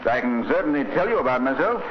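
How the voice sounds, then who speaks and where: An actor playing a charming, evasive British murder suspect who poses as a group captain, in a vintage radio drama. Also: male voice, mid-range voice, big pitch swings, fast, natural voice. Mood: breezy, affable. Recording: dull, noisy vintage radio recording.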